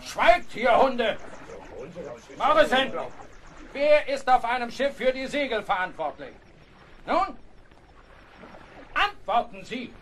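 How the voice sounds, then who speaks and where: A man's voice: very high voice, lively intonation, slow pace, long pauses, fairly bright tone, slight background noise, exaggerated voice. A man playing a tyrannical, suspicious ship's captain in a historical radio play, barking orders and sarcastic accusations. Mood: angry, commanding.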